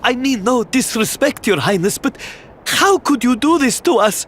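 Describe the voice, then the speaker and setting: A man's voice: very high-pitched, big pitch swings, normal speed, a bit bright, a little hiss or hum, heavily acted. An actor playing a fretful, deferential royal retainer in a video game, pleading as he speaks. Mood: fearful protest. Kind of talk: video game cutscene voice acting.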